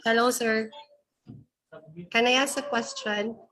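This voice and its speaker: Bright-voiced Filipino woman asking a question. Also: fairly high pitch, steady tone, takes it slowly, low-quality recording. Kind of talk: casual question.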